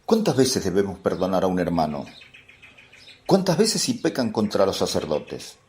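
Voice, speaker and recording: A man's voice: low-pitched, wildly swooping pitch, normal speed, darkish tone, a little hiss or hum. An actor playing an earnest, anxious male apostle who seeks guidance with questions, in a religious radio drama. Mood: earnest questioning.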